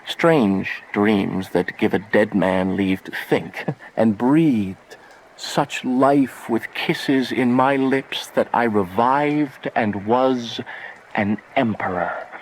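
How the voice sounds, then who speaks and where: A man's voice: lowish voice, drawn-out words, rough recording. An actor playing a lovesick, impulsive young nobleman in a declamatory, poetic Shakespearean radio drama. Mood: dreamy, eager. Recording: faint background noise.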